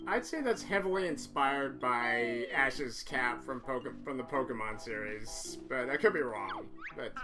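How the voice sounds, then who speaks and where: Hoarse man chatting over a game. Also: medium pitch, lively intonation, measured pace, hardly any pauses. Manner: rambling, self-correcting, chatty. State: hoarse, voice cracking from illness. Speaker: video game player. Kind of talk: casual let's-play commentary. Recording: loud game music under voice.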